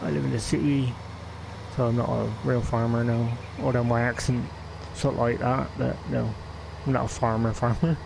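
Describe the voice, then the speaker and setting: A man's voice: mid-range voice, flat delivery, normal speed, vocal fry, background noise. A man with a West Country English accent chatting casually while streaming a game, rambling and laughing often. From England. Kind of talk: casual live-stream chat.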